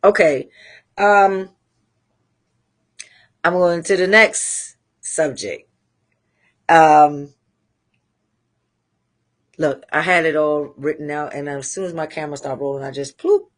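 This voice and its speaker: A woman with a Trinidadian accent hosting a live talk show, speaking candidly and rambling with pauses to find her thread. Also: sits low. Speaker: host. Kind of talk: casual livestream monologue.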